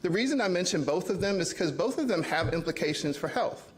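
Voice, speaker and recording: A man speaking as an academic panelist, measured and hedging with "sort of" and "you know". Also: sits high, lively intonation. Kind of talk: panel talk.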